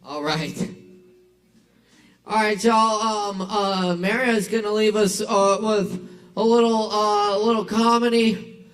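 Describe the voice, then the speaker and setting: A man's voice: high-pitched, fairly even intonation, slow, a bit bright, rough recording. A man hosting an open mic, introducing acts hesitantly while hyping the crowd. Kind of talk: emcee introductions.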